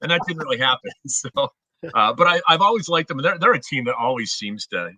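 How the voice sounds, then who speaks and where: A man's voice: medium pitch, lively intonation, fairly quick, sharp tone, husky, dry recording, rough recording. A middle-aged American man, a former stadium announcer, chatting casually as an interview guest.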